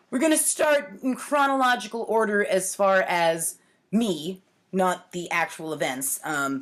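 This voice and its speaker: A woman's voice: lowish voice, a bit bright. A woman in her forties talking casually and playfully in a storytime vlog, with a wry, mock-earnest manner. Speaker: host of a storytime series. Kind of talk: casual comic storytime vlog, commenting on own childhood writings. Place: home kitchen, indoors.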